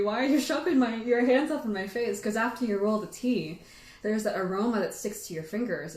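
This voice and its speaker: A woman host telling stories casually to livestream viewers, animated and rambling, peppering her sentences with "like". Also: medium pitch. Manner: animated, rambling, giggly, frequent "like". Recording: echoey room.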